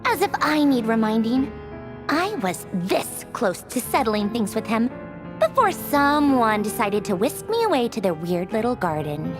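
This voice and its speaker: Haughty young villainess for a game: high, swooping delivery, sarcastic and theatrical, over music. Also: female voice, big pitch swings, unhurried. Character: haughty, sardonic young female villain officer, secretly wistful. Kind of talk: video game voice acting.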